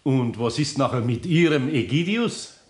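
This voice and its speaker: A man's voice: mid-range voice, lively intonation, measured pace, close to an everyday voice. Man playing homely bavarian craftsman, grumbly but kind, very up and down, echoey old radio play. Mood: matter-of-fact. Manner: homely, plain-spoken, patient. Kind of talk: 1960s German radio play acting. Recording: echoey 1960s radio play hall sound.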